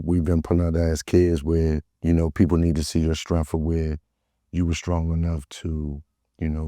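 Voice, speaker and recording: Brief: low-pitched DC man hosting a street podcast, loose and profane, dry studio sound. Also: mellow, no echo. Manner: loose, streetwise, swearing freely. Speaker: podcast host. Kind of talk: casual interview chat.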